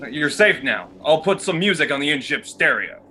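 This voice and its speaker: Man playing an awkward bright-voiced costumed hero. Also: fairly high pitch, sing-song, moderate pace, a little hiss or hum. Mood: hesitant, helpful. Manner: hesitant, stammering. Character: awkward, earnest middle-aged male costumed vigilante, shy gadget lover. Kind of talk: fan-made dramatic voice acting over video call.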